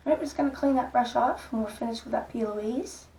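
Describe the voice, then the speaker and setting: A middle-aged woman with a croaky voice, gently talking through a makeup tutorial in a soft, unhurried way. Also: fairly high pitch. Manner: soft-spoken, unhurried, stops and restarts gently. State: hoarse, croaky voice. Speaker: makeup vlogger. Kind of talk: casual makeup tutorial talk.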